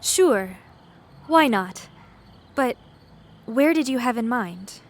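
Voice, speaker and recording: Woman acting as sweet awkward young woman, kinda high voice, nervous and apologetic, comedy horror audio play. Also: lively intonation, takes it slowly. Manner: hesitant, apologetic. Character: sweet, awkward, anxious young woman hiding her fear.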